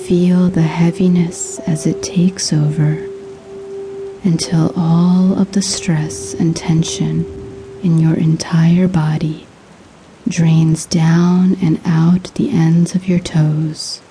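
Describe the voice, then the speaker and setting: Slow, hushed woman guiding sleep hypnosis. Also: low voice, little pitch movement, background noise. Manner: soothing, hushed, breathy delivery with measured pauses. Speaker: hypnosis audiobook narrator. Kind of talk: guided sleep hypnosis, scripted meditation narration.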